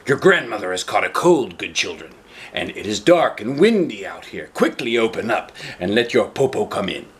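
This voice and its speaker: A man voicing a cunning old wolf posing as a kindly grandmother, coaxing in a drawn-out low voice for a storybook. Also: big pitch swings. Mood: sly, coaxing.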